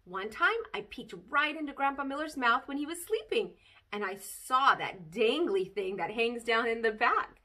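A woman voicing a silly, excitable, mischievous little girl telling her story from a children's storybook, in a dramatic, bubbly manner. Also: a little high, normal speed. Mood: bragging, chatty. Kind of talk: expressive children's storybook read-aloud.

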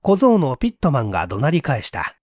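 Animated male story narrator. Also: medium pitch, a little fast, no room sound. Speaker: audiobook narrator. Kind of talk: dramatic scripted story narration.

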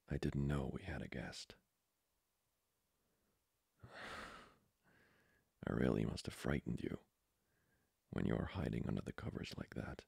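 Casting: male actor as seductive aristocratic vampire for ASMR roleplay, extremely low gravelly voice, courtly and intimate. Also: darkish tone, dry recording, heavily acted. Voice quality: breathy, whispery, gravelly. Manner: formal, slow, seductively polite. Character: flirty, courtly male vampire lord, hungering for blood.

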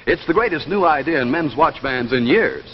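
A man's voice: on the high side, animated, moderate pace, long reverb tail, slight background noise. A young man giving a polished, salesmanlike scripted announcement in the style of 1950s television advertising. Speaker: announcer and commercial pitchman. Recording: dull 1950s television soundtrack with music.

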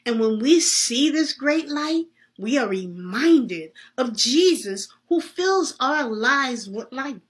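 A woman voicing a solemn, earnest Santa Claus in a read-aloud story, teaching slowly and emphatically. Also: sits high, expressive. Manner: slow, emphatic, preacherly.

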